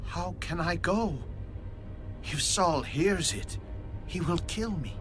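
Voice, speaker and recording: Stern old testament prophet in audio bible drama, forceful, slow and declamatory, faint music. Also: male voice, fairly high pitch, expressive. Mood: grave. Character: Samuel, stern, grave male prophet of ancient Israel.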